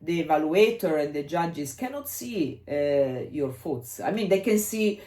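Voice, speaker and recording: A woman's voice: sits low, lively intonation, unhurried, mellow. A woman with an Italian accent, explaining as a dance coach in casual discussion, hesitant and searching for English words. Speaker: dance coach and podcast co-host. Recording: echoey room.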